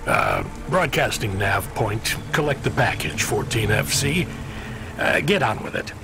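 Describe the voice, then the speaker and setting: A man's voice: fairly low pitch, very animated, unhurried, noisy background, exaggerated voice. An actor playing a worn-out, irritable, drunk-sounding male dispatcher in a video game, slurring his words. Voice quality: slurred, gravelly.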